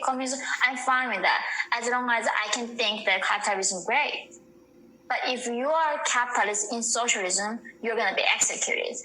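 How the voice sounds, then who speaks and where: Young woman, korean accent, english as second language, bright voice, earnest, roomy recording, faint ambience. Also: on the high side, a little hiss or hum. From North Korea. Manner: earnest, frequent "like" fillers. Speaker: interview guest. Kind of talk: casual interview answers.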